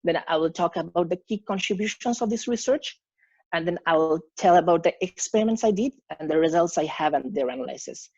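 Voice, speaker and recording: A man's voice: a little high, expressive, a little fast, darkish tone, no room sound. Muffled Bangladeshi man giving a presentation. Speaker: PhD candidate. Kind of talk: academic presentation. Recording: muffled video-call audio.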